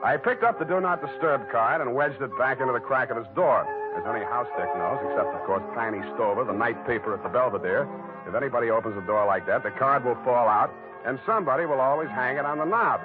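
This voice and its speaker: An actor playing a wry, deadpan hard-boiled private detective in a 1940s radio drama, a man who wisecracks as he narrates. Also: mid-range voice, fast, natural voice. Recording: old narrow-band radio recording with loud music.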